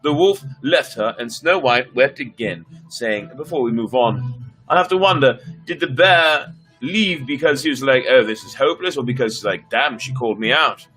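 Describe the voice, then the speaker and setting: A man's voice: medium pitch, expressive, normal speed, a bit bright, rough recording. A man reading stories aloud on a casual livestream, theatrical, with playful asides to his viewers. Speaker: storyteller streamer. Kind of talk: casual livestream storytelling, dramatic read-aloud. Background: background music.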